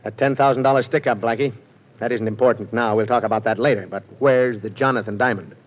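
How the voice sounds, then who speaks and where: Actor as a quick-talking, harried police inspector; dull vintage broadcast. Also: male voice, mid-range voice, fairly even intonation, lightly acted. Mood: pleading. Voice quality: wheedling, exasperated. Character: exasperated middle-aged police inspector. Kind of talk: 1940s radio acting. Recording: dull lo-fi old radio recording.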